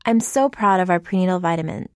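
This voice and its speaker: A woman's voice: fairly low pitch, lively intonation, fast, a little mellow, smooth, dry recording, studio quality. A woman reading a scripted ad as a company founder, conversational and earnest. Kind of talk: scripted ad narration.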